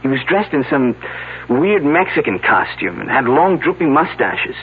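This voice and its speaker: Earnest, lively young man. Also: medium pitch, normal speed, breathy edge. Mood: earnest, recounting. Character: earnest, uneasy young man on an archaeological dig. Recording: narrow, slightly noisy phone-like old broadcast audio.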